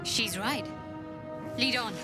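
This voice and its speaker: A wary young female adventurer from a video game. Her voice sits on the high side and she speaks with measured, dry self-possession. Music plays clearly behind her. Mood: composed, wry. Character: guarded, wary young woman freed from captivity. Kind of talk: video game character acting.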